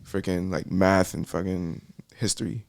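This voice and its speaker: Young man from Miami, lowish voice, dry close sound, rambling with fillers. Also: fairly even intonation, unhurried, gravelly, no echo. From Miami, Florida. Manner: rambling, many fillers and restarts. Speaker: podcast guest, music artist. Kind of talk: casual interview chat.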